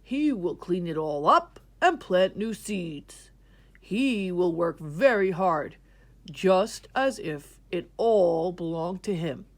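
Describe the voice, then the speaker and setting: A woman voicing a fair, patient king in a children's story, deliberate and measured. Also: lowish voice, lively intonation. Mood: reasonable. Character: fair, patient king, thoughtful adult man.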